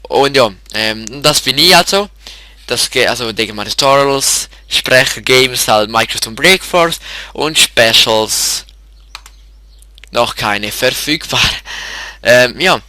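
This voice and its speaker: A man with a Swiss German accent, congested from a cold, talks in a casual, rambling way, restarting sentences and filling gaps with hesitations. His voice is bright and sharp-edged. The sound is close and dry, with no room echo. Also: mid-range voice, animated. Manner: halting, rambling, many restarts and fillers. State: stuffy with a head cold. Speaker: YouTube channel host. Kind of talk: casual screen-share info video.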